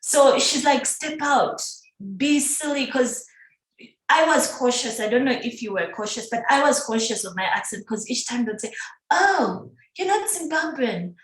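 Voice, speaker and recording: A middle-aged woman from Uganda, chatting as a podcast guest, animated and laughing often. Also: high-pitched, unhurried. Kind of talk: casual conversational interview. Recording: echoey room.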